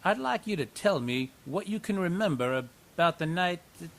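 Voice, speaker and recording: A man's voice: high-pitched, lively intonation, normal speed, creaky, a bit bright. A man narrating and playing an investigator in a radio drama, in a wry, conversational way.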